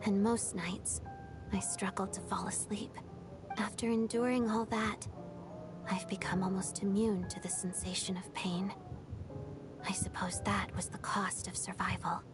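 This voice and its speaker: A woman playing a melancholy young ballet dancer in a video game, speaking softly and breathily in a restrained, reflective, measured way. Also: medium pitch, flat delivery, over music, close to an everyday voice. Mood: wistful, resigned. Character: melancholy young female ballet dancer and former Fatui trainee. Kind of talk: video game story dialogue.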